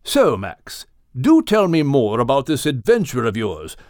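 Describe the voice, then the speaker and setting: A man voicing a genial, gentlemanly whale for an audiobook, with a British accent and polite, old-fashioned manners. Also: medium pitch, wildly swooping pitch, unhurried, no echo, clean studio sound. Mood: genial, courteous. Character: genial, courteous upper-crust male whale. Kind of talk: audiobook character acting.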